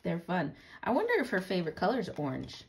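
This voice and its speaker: A woman reading a storybook aloud and chatting casually about it, with slow, deliberate phrasing and gentle questions. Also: lowish voice, very animated, creaky. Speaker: read-aloud reader.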